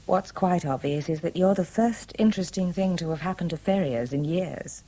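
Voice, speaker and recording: An actress playing a candid, gently teasing woman with an English accent, poised and articulate, in a 1970s radio drama. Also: on the low side, moderate pace, natural voice. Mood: teasing, cautious. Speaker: actor.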